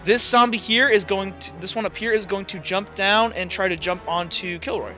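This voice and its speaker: A man's voice: high voice, moderate pace, sharp tone, highs cut off. A man acting as game master in a tabletop roleplaying game, talking casually and swearing freely. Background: background music.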